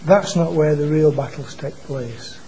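Soft-spoken preacher. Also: male voice, on the high side, slight background noise, rough recording. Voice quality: quiet voice. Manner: halting, repeats and restarts words. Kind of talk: informal church sermon. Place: church meeting. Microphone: microphone being adjusted.

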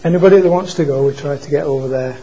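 Soft-spoken preacher. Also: male voice, fairly high pitch, highs cut off, slight background noise. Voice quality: quiet voice. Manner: halting, repeats and restarts words. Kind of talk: informal church sermon. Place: church meeting. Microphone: microphone being adjusted.

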